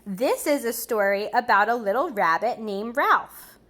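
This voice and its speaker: Lady teacher doing storytime for little kids, kinda high voice, takes her time, explains stuff as she goes. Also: lively intonation, fairly bright tone. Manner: deliberate, explains and comments to young listeners. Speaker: preschool teacher reading aloud to children. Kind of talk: expressive storybook read-aloud for young children. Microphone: recorded on camera for a video.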